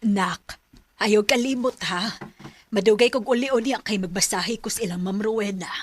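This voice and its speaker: An actress playing a middle-aged mother who works as a masseuse, with a husky voice and a gentle but stubborn way of talking. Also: mid-range voice, moderate pace, a little hiss or hum, natural voice.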